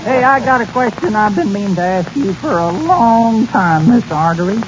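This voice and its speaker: Radio western sidekick: folksy, inquisitive American cowpoke, very animated, dull vintage broadcast sound. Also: male voice, high-pitched, normal speed, noisy background. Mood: curious. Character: folksy, nosy male cowboy sidekick, plain-spoken. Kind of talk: 1940s radio western acting.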